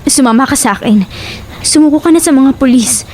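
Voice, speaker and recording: Casting: actress as earnest young Filipino best friend, high voice, urgent and imploring, audio horror serial. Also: female voice, fairly quick, warm tone, a little hiss or hum, lightly acted. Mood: grave, urging. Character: worried, earnest young woman, loyal friend urging confession.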